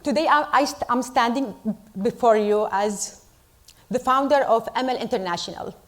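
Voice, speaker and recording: Earnest Iraqi-born woman giving a speech. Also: a little high. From Iraq. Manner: earnest, deliberate, with pauses for emphasis. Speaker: storyteller at a community event. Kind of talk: speech to a live audience.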